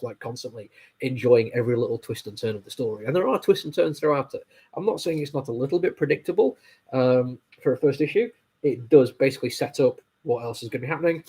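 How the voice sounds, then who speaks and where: A man with a heavy cold talks through a comic book review, his voice nasal and a little husky. He rambles casually, full of ums and apologies, at an ordinary middle pitch. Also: mellow. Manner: rambling, with many fillers and apologies. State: stuffy nose from a cold.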